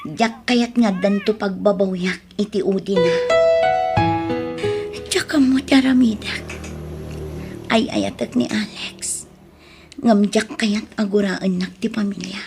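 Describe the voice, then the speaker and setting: A woman's voice: mid-range voice, slow, gravelly, long pauses, music in the background. A woman with an Ilocano accent playing an anxious, hesitant woman in an emotional audio drama. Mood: hesitant, worried.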